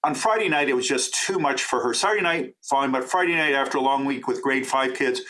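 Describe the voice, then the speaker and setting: A middle-aged man from Canada, a professor and author, answering interview questions in a talkative, enthusiastic way with quick asides. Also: mid-range voice, bright, forceful tone.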